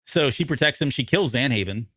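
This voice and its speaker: A man co-hosting a podcast, recapping a story in casual, halting conversation and often correcting himself. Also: mid-range voice, lively intonation, a bit bright, dry recording. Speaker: podcast co-host. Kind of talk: casual discussion recapping an audio drama.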